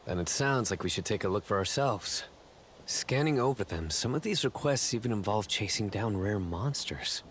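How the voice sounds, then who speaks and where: An actor playing a prince's loyal, level-headed companion in a video game, calm, practical and protective. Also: male voice, lowish voice, moderate pace, a little hiss or hum. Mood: easygoing. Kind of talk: video game cutscene acting. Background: music.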